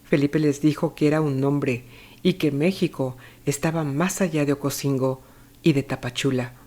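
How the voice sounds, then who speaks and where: Narrator for a literary retelling: medium-pitched voice, measured, scripted storytelling delivery with deliberate pauses. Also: steady tone, a little mellow. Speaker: narrator of a book review.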